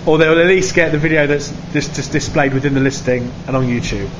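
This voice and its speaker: A man's voice: fairly high pitch, brisk. Earnest man explaining from behind camera. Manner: earnest, explains at length with many restarts. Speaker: charity organiser filming the artist. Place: indoor pub. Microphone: camera mic, speaking from behind the camera. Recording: dull sound with clear background noise.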